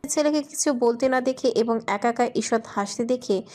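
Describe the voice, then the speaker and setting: A woman's voice: a little high, normal speed, darkish tone. A woman reading scripted romantic fiction as a narrator.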